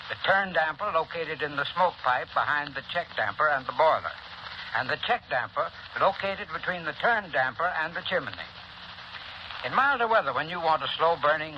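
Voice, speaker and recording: A man giving a scripted 1930s radio advertising talk as a heating expert, formal, instructional and precisely phrased. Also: a little high. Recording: noisy, dull 1930s radio recording.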